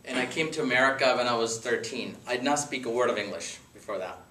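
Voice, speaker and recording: A man's voice: mid-range voice, quick pace, pushed and bright, a little hiss or hum. A man in his thirties, from India and a native Gujarati speaker, giving a casual, joking talk about himself to friends after dinner. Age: 30s. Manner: chatty, rambling, joking. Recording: echoey room, mic far off.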